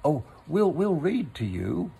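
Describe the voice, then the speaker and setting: A man's voice: on the high side, big pitch swings, slow pace, creaky, dark tone, breathy edge, pitched above the performer's own voice, full character voice. A man voicing a cheerful, practical rabbit in a storybook, polite, fussy and rambling.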